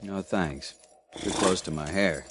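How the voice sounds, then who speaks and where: A man's voice: low-pitched, measured pace. A folksy, blunt frontier newsman. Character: weary hard-drinking frontier reporter, adult man. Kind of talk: 1950s radio drama acting. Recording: noisy old radio broadcast.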